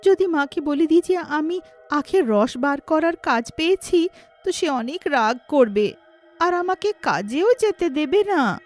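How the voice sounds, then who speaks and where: Poor anxious young daughter played by a woman, extremely high voice, melodramatic and plaintive, music underneath. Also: lively intonation, a little slow. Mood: earnest, worried. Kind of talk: dramatised moral storytelling.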